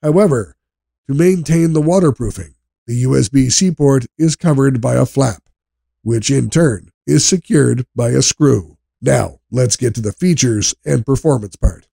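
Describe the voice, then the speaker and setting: A man reading scripted product review narration in a measured, explanatory way. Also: mid-range voice, vocal fry, warm tone, breathy edge, dry recording, clean studio sound.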